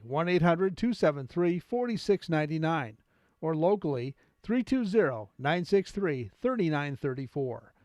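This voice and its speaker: A man's voice: on the high side, darkish tone, no echo. Dry studio-sounding American male ad announcer. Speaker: radio commercial announcer. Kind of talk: scripted ad read.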